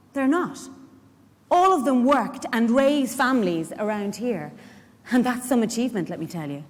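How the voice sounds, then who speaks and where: Busy working mother, stage monologue, very lively intonation, emphatic, confiding, ringing hall acoustics. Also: high voice. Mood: indignant. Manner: chatty, emphatic, confiding asides to the listener. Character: Stella, busy, warm-hearted, indignant working mother and community care worker. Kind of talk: dramatic monologue reading performed live. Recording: echoey hall, live stage reading.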